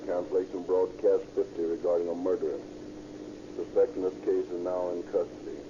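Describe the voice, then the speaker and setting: A man playing a stern police dispatcher in a vintage radio drama, reading bulletins in a terse, official monotone. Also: low voice, a little fast, close to an everyday voice. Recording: muffled, noisy old radio recording.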